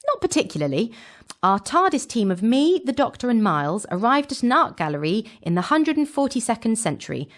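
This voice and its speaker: Lively, breezy female adventurer played by a woman. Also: fairly high pitch, a little fast. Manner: breezy, wry, casual asides. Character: Jade, breezy, wry young female time-travelling companion. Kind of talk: chatty scripted recap narration in an audio drama.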